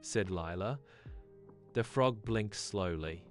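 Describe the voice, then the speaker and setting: A woman's voice: very deep voice, slow, soft background music. A woman narrating a scripted bedtime story for children.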